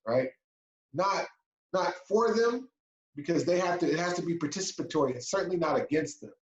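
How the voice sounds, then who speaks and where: Earnest man on a roomy, dull call. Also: fairly high pitch. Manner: earnest, emphatic, asks for agreement with repeated "right?". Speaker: webinar panelist. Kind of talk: closing remarks on a video call. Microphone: video call.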